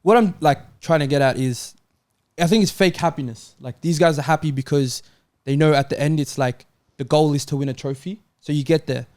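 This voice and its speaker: A man's voice: a little high, brisk, a little mellow. A man in a casual football debate, phrasing his argument tentatively and circling round his point. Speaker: football panel show regular.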